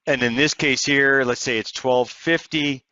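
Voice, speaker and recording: A man narrating a software tutorial step by step in an explanatory, conversational way. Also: mid-range voice, steady tone, unhurried, smooth, no room sound, lo-fi sound. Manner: explanatory, conversational walk-through. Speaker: software demonstrator.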